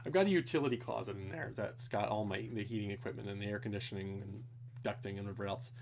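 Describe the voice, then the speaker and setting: A man's voice: on the low side, quick pace, vocal fry, a little hiss or hum. Rambling man talking tech. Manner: rambling, technical, swears casually, restarts often. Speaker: streamer answering viewer questions. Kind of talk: casual live Q&A. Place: home, indoors.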